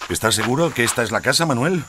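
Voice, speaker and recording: Authoritative male investigator for audio drama: controlled questioning, varied pitch, clear noise behind. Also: lively intonation, fast, bright, forceful tone. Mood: probing. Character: steady, probing male police detective questioning a killer.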